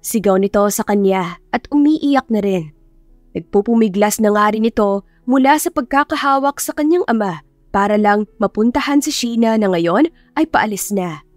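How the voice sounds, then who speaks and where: A woman narrating a romance audiobook in a steady, measured storytelling read. Her voice is mid-pitched and close, with dry sound and faint music underneath. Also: dry recording.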